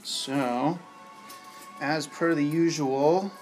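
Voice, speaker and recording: A man's voice: a little high, steady tone, very slow, darkish tone, soft background music, low-quality recording. Laid-back chatty guy streaming. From United States. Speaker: bartending channel host. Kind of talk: casual live-stream chat.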